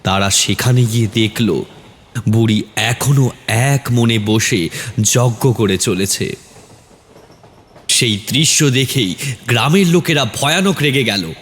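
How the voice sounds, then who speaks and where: Male narrator for horror audio tales, mid-range pitch, heavy lingering reverb on the voice. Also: takes it slowly, pauses often, a bit bright. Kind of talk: scripted horror story narration. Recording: long reverb on the voice.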